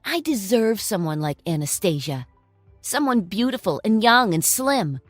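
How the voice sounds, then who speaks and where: A woman's voice: on the high side, big pitch swings, normal speed, a bit bright, no echo, faint music behind. A woman voicing a smug, selfish man who sneers at his ex-wife. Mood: sneering. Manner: sneering, condescending. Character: smug selfish ex-husband, middle-aged man.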